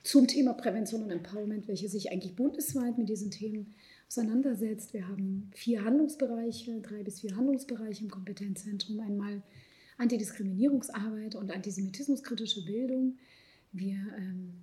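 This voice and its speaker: A middle-aged woman born in Ukraine and raised in Israel, speaking as a psychologist on a discussion panel, thoughtful and hesitant with frequent fillers and soft trailing asides. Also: mid-range voice, a little fast.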